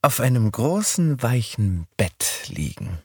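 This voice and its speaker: A man voicing a bold, whimsical young woman in an audiobook, with a raised, lively, teasing lilt. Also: medium pitch, takes it slowly, slightly rough, dry recording, studio quality, voice pushed up, exaggerated voice. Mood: dreamy. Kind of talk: audiobook character voice.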